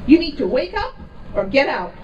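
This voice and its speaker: A woman with a Czech accent gives an emphatic, deliberate prepared speech from an outdoor rally stage, heard over a PA system. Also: sits high, sing-song, lo-fi sound. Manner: emphatic, deliberate, reading from notes. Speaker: rally speaker. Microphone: PA system heard from a distant camera. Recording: distant outdoor PA with crowd noise.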